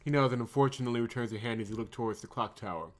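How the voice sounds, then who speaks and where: Excitable male visual novel let's player. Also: medium pitch, very fast. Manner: excitable, exclamatory reactions. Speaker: let's play host. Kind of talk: reading game narration with live reaction commentary.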